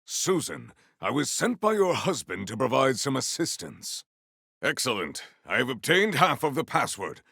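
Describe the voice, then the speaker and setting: A man voicing a stoic, honourable warrior in a video game, speaking in formal, stately phrases with a gravelly voice. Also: medium pitch, very animated, bright, forceful tone, dry recording, clean studio sound, exaggerated voice. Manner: formal, stately, measured diction.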